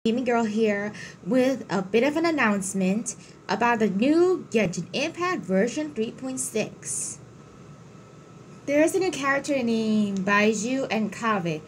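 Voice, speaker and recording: A woman hosting a gaming channel, giving casual playthrough commentary with a halting, stumbling delivery. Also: on the high side, lively intonation, a little hiss or hum, rough recording.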